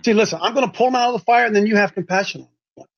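High-voiced preacher guy, emphatic and repetitive, muffled lo-fi sound. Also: rapid-fire. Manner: preachy, emphatic, repeats himself. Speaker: host and street preacher. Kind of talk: fervent religious talk.

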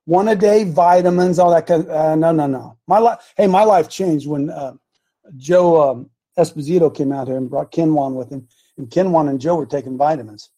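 An American man with a raspy voice hosting a religious talk show, chatting casually in a folksy, animated way and telling rambling personal stories. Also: a little high, moderate pace. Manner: folksy, animated, repeats phrases for emphasis.

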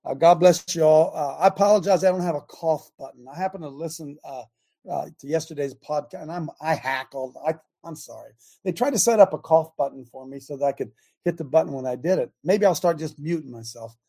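A male talk show host with a scratchy, husky voice worn by chronic laryngitis and a lingering cough. His tone is dark and mellow. He rambles loosely, then drives his points home with fervent, emphatic preaching. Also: fairly high pitch, a little fast. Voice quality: raspy, scratchy voice. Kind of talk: fiery preaching talk radio.

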